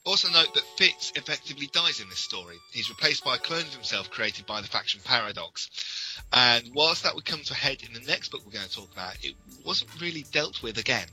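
Man, podcast co-host, medium pitch, strikingly bright tone, halting explanatory delivery, opinionated, music behind. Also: animated, low-quality recording. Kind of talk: casual but detailed explanatory discussion.

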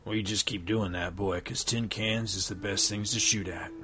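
A man doing a gruff low-drawling gunfighter. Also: fairly even intonation, moderate pace, pushed and bright, soft background music. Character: gruff weathered male bounty hunter, laconic.

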